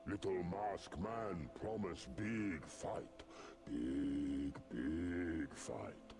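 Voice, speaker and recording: An actor playing a huge, dim-witted, battle-hungry brute in a fantasy video game, boastful and blunt, in broken grammar. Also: male voice, sits low, full character voice. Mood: gleeful, threatening. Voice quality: gravelly. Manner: broken third-person grammar, slow and blunt.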